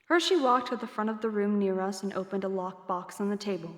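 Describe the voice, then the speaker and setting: A woman's voice: mid-range voice, brisk, echoey room, in a large hall. A woman giving scripted first-person narration for a fantasy story. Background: music.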